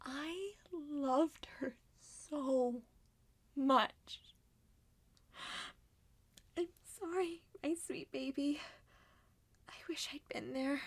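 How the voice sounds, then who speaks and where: A woman playing a mournful, theatrical mother with a drawn-out, melodramatic delivery. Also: high voice, pitched above the performer's own voice, heavily acted.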